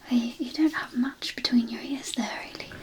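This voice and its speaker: A woman's voice: fairly high pitch, fairly even intonation, normal speed, natural voice. A woman playing a whispering, macabre zombie nurse. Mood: probing, mock concern. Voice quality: breathy whisper. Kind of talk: ASMR roleplay.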